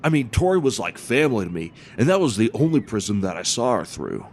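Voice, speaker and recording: A good-natured surfer dude , acted by a man for an audio drama, rambling along in easygoing slang. The voice is on the low side, with faint background rumble. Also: very animated, fairly quick, a little mellow. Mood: laid-back. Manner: laid-back, rambling storyteller with surfer slang.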